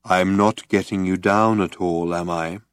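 Man putting on a depressed robot, low hopeless drone, slow, self-pitying, storybook reading. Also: flat delivery, no room sound, clean studio sound. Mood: gloomy. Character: gloomy depressed male robot, slow and droning. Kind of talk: audiobook character voice.

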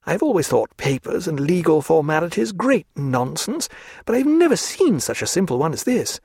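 A male audiobook narrator voicing a lively, teasing young English lady with a gushing manner. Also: normal speed, gravelly, slightly rough, dry recording, clean studio sound, heavily acted. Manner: gushing, teasing, high-spirited.

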